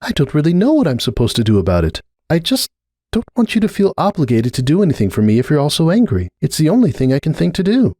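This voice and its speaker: Pony mother for storybook drama, played by a man: lifted pitch, lively swings, hesitant, earnest, stubbornly defensive. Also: fast, no room sound, studio quality. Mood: sad.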